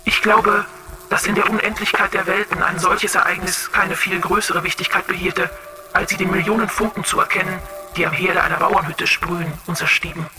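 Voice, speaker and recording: A woman's voice: lowish voice, steady tone, brisk, over music, natural voice, rough recording. An actress playing a serene, clairvoyant female android in a radio drama, speaking with dreamy eloquence. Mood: calm, philosophical. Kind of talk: German radio drama acting.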